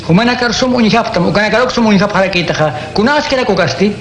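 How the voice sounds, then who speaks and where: A steady preacher voice, kinda high, echoey room with faint hiss. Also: male voice, quick pace. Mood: teaching. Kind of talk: radio drama acting.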